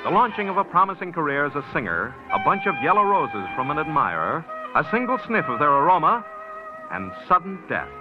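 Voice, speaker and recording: A man's voice: fairly high pitch, sing-song, fairly quick, over loud music. A man reading scripted announcements in the formal style of an old network radio announcer, on a muffled recording.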